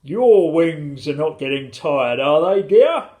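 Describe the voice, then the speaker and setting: Reader playing tiny winged husband, indignant, bossy, loud, emphatic, slow and swooping, storybook. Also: mid-range voice, lively intonation. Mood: urging.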